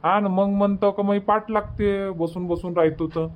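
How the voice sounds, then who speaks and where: A man's voice: high-pitched, steady tone, normal speed, phone-quality sound, close to an everyday voice. A man voicing an impatient, bossy village family man in a cartoon comedy, rambling in colloquial Vidarbha Marathi. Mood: matter-of-fact.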